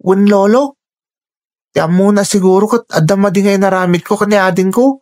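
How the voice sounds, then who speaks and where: A performer acting a caring young older brother in a radio drama, gentle and respectful. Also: mid-range voice, normal speed, lightly acted. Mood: respectful, explaining.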